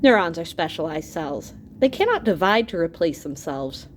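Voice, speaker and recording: A woman reading an educational science book aloud as a teacher, expressive and explanatory, pausing to clarify terms. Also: fairly low pitch, a little hiss or hum.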